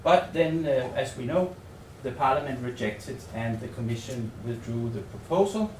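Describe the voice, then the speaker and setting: A man with a Danish accent gives a research presentation in an echoey room, picked up from some distance with faint noise behind him. His pitch is average for a man. He talks tentatively and haltingly, often repeating words as he works out the phrasing. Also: expressive, a little mellow. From Denmark. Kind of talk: semi-improvised conference presentation. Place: seminar room in a hotel.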